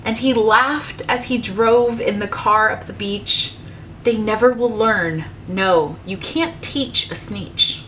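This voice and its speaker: A woman teacher reading a rhyming storybook aloud to children in a measured, rhythmic way. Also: medium pitch, a bit bright, slight background noise, low-quality recording.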